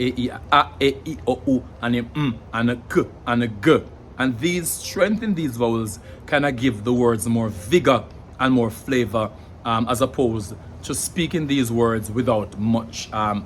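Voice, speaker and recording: A young man with a Jamaican accent explains things casually to camera in a mid-range voice, often pausing on fillers and repeating words as he thinks. A faint hum of background ambience sits under his speech. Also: medium pitch, a bit bright. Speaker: language vlogger.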